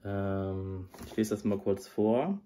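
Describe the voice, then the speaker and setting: A man's voice: lowish voice, takes it slowly, dark tone. A man in his thirties who has a cold, talking casually to a close camera in a small indoor room, rambling with many fillers. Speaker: audio drama reviewer. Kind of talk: casual review talk to camera. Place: small room under a sloped roof, indoors. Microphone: device camera at close range.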